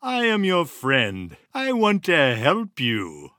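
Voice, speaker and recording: A man's voice: a little high, huge pitch swings, takes it slowly, bright, forceful tone, no echo, studio quality, voice pushed up, heavily acted. A man doing a sly, honeyed fox. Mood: coaxing. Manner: coaxing, honeyed. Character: sly smooth-talking male fox, scheming.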